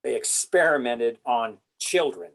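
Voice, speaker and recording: Man acting principled soldier, mid-pitched, blunt, indignant, weary, film script drama. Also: animated, measured pace, creaky. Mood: bitter resolve.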